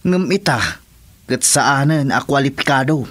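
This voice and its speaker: An actor with an Ilocano Filipino accent playing a patient village official in a radio comedy drama. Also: male voice, mid-range voice, animated, measured pace, fairly bright tone. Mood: explanatory. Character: village official patiently explaining aid rules.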